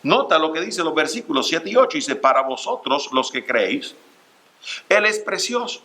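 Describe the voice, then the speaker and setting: A middle-aged man preaching a church sermon, earnest and direct, calling his listeners brothers and pausing on fillers. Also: a little high, very animated, pushed and bright. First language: Spanish. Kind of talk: Spanish-language church sermon. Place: church sanctuary.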